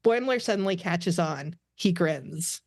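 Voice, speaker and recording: A woman's voice: fairly low pitch, lively intonation, measured pace, a little mellow, dry recording. Woman narrator with dry, close sound. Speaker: stage directions narrator. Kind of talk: scripted narration for a table read.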